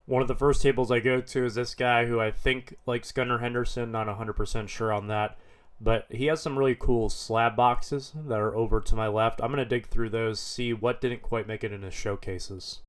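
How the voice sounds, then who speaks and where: A man with a head cold, slightly hoarse, narrating a vlog in a relaxed, matter-of-fact way. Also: mid-range voice, moderate pace. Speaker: card dealer vlogger.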